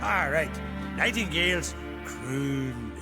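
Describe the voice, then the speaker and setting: Singsong guard reciting stuff, real slow, pedantic, fantasy game, music behind him. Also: male voice, on the high side, animated. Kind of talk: video game character acting.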